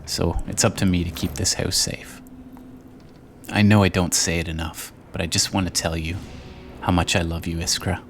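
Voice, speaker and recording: A man playing a devoted, weary father in a besieged city, speaking a journal to a daughter in a grave, tender, measured way. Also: deep voice, little pitch movement, a little hiss or hum. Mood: grave, worried, then loving. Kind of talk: dramatized journal narration addressed to his daughter. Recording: music under the voice.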